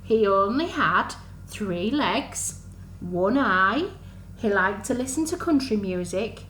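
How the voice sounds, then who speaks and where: Female children's storyteller, unhurried and sing-song, exaggerated delivery for under-fives, recorded in an echoey room. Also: mid-range voice, lively intonation, fairly bright tone. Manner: sing-song, exaggerated, asks the listeners questions. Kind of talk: animated storytime reading to young children. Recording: echoey room, faint background noise.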